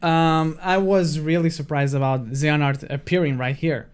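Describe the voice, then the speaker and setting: A man's voice: on the high side, fairly even intonation, normal speed, smooth. A man co-hosting a livestream in casual conversation. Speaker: livestream co-host. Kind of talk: casual chat.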